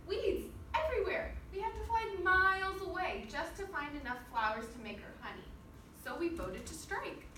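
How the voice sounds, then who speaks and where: A woman voicing a very small, loud, indignant bee with a demanding, sarcastic manner in a storybook reading. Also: very high voice, lively intonation, normal speed, pitched above the performer's own voice, heavily acted. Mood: firm, aggrieved. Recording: roomy, distant microphone, clear noise.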